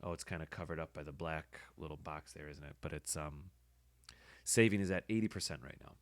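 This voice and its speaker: A man with a hoarse voice, casually talking through his editing work as a podcast host, thinking aloud with fillers. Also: a bass voice, a little fast, no echo. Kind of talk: casual screen-recording commentary.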